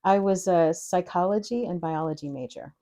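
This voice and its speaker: A woman in her fifties from New York City, chatting as a guest in a casual interview, lively, laughing often and murmuring agreement. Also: fairly low pitch, normal speed. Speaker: guest, wellness practitioner. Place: indoors at a spiritual center.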